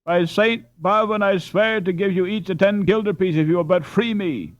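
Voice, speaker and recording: A man voicing a sly captured robber in a storybook, pleading piteously in a moaning, drawn-out manner. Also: sits high, no echo, pitched above the performer's own voice, natural voice. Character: captured male robber, wheedling, pitiful, sly.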